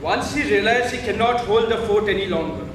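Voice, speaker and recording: A man's voice: sits high, expressive, fairly quick. A man acting a cold, calculating, ambitious prince in a stage play, speaking in a clipped, commanding way. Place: theatre stage, recorded from far off. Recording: distant, echoey, noisy stage recording.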